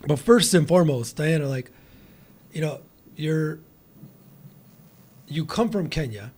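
A man hosting a casual interview podcast, excitable and rambling, often restarting his sentences. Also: on the high side, unhurried. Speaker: podcast host.

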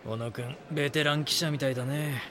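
A man playing a calm, measured chief editor mentoring a junior in a Japanese voice drama. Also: mid-range voice, lightly acted. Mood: probing, instructive. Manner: instructive, composed.

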